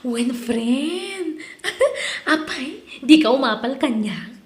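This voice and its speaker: A boastful Ilocano woman in a radio drama, breezy and insistent as she pushes a friend along. Her voice is very high and rings with echo. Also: expressive, extremely slow, full character voice. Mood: showing off. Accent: Ilocano Filipino. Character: Rosalyn, pushy boastful friend luring into investment scheme. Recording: roomy, echoing studio drama sound.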